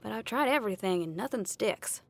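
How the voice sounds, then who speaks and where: A woman's voice: mid-range voice, expressive, a little fast, creaky. A woman voicing a brash young female rock guitarist in a game, cocky and upbeat. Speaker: game character. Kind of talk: game voice lines.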